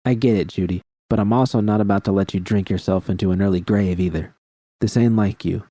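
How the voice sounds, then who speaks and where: A man's voice: on the low side, steady tone, fairly quick, mellow, dry recording, lightly acted. A man voicing a tough, protective woman friend in an audiobook, blunt and streetwise. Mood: firm, concerned. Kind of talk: audiobook character dialogue.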